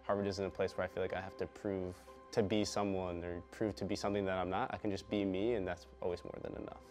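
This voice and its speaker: A man's voice: low-pitched, little pitch movement, fast talker. A young male college student giving a casual interview testimonial. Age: young adult. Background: music.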